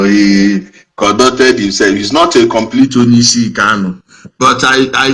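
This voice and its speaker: A man's voice: mid-range voice, poor audio. A man hosting a heated call-in debate, urgently cutting in to steer the talk. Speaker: host.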